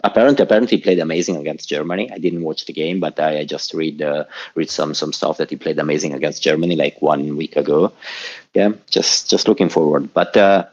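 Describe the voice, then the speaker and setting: Deep-voiced Swiss caller, Italian native. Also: male voice, steady tone, fairly quick, slightly rough, highs cut off. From southern Switzerland. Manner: rambling, with many restarts and repeated words. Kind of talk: casual call-in chat. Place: home in Zurich.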